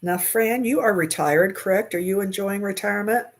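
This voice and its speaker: A woman's voice: medium pitch, moderate pace. Chatty woman in her sixties on webcam. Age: 60s. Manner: chatty, reads and answers viewer comments; laughs easily. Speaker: crochet livestream host. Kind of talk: casual chat while crocheting. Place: small bedroom at home, indoors. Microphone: webcam at desk.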